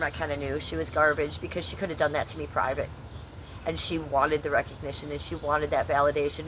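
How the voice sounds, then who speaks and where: A woman's voice: sits low, fairly bright tone, a little hiss or hum, rough recording. A middle-aged woman from Ohio, talking bluntly and sarcastically to her chat on a livestream, swearing freely. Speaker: livestream host.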